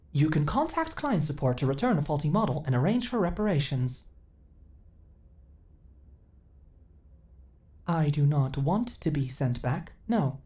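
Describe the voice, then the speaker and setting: A woman performs a female companion android for a sci-fi ASMR roleplay. The voice is low and soft-edged, with a mellow tone. She speaks in stilted, literal, formal phrases, earnest yet unsure of herself. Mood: anxious, uncertain. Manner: stilted, formal, literal, emotionally flat delivery. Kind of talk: ASMR roleplay audio drama.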